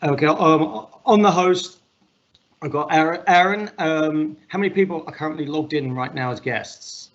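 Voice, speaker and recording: A man hosting an online meetup in casual talk. He has a loud, projecting voice and rambles and jokes, with lots of fillers. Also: fairly high pitch, normal speed, dull audio. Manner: rambling, filler-heavy, joking. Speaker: meetup host.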